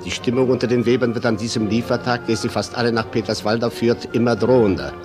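A man's voice: medium pitch, fairly even intonation, fast. Male radio drama narrator over music.

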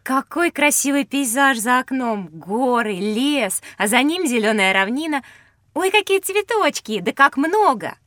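A woman's voice: high-pitched, expressive, unhurried, a bit bright, no room sound, clean studio sound. A woman voicing a sweet, curious young schoolgirl in a children's educational audio drama, full of wonder and questions. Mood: admiring.

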